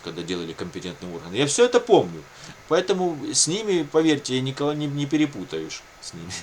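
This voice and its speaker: Low-voiced man rambling over background noise. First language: Russian. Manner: rambling, repetitive, with frequent colloquial catchphrases. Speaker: video blogger telling his own situation. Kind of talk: casual unscripted monologue to viewers.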